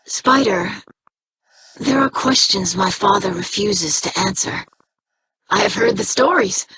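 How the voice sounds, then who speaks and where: A woman's voice: mid-range voice, lively intonation, measured pace, a bit bright, slight background noise, poor audio. A woman playing an earnest, dutiful young alien scribe in a video game, speaking formally and precisely.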